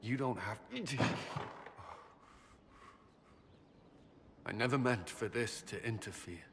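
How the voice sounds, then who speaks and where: An actor playing an earnest, troubled military commander in a game, speaking with formal, halting intensity. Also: male voice, medium pitch, fairly bright tone, natural voice. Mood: ashamed. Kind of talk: dramatic game voice acting. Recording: long reverb, noticeable noise behind.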